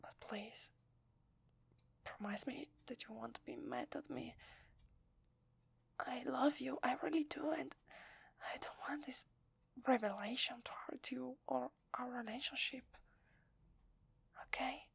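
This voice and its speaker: A woman with an Italian accent playing a gentle, loving young wife in a whispered, hesitant ASMR roleplay. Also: high-pitched, no echo, heavily acted. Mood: nervous, earnest. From Italy. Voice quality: breathy, whispery. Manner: slow, hesitant, tender. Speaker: ASMR voice actor.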